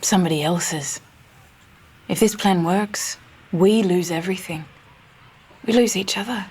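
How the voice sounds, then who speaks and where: A woman's voice: lowish voice, measured pace, a little mellow, slightly rough. A woman playing an anxious, tender young heroine at a fairy-tale table read.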